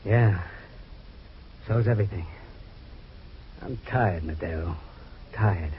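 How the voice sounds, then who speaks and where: An actor playing a haunted, confused man with lost memory, anguished and halting in old-time radio melodrama. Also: sits low. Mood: weary, broken. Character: haunted, confused amnesiac husband, desperate man. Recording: dull, noisy old radio recording.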